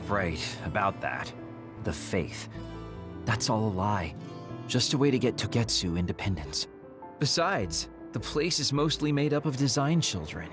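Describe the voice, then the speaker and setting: An actor voicing a laid-back but secretly determined young soldier in a video game, casual, candid and dramatic. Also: male voice, on the low side, very animated, unhurried, music in the background. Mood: wry, candid. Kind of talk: video game cutscene voice acting.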